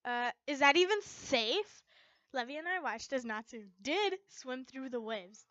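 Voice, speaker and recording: A young adult woman narrating a fan fiction story in an expressive amateur style, recorded up close at home. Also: on the high side, smooth, dry recording, muffled recording.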